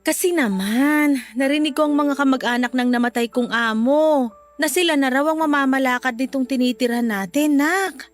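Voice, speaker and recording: A woman's voice: high-pitched, normal speed, soft background music, close to an everyday voice. Careworn, loving Filipino mother, acted by a woman. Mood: worried. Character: poor, anxious, loving mother of a young child. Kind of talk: Filipino radio drama acting.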